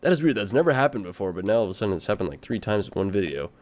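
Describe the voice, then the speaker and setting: A male gaming host chatting casually through a let's play. His delivery is informal and playful, with pitch that swings very widely. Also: very animated, racing, a little mellow. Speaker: host of a gaming video channel.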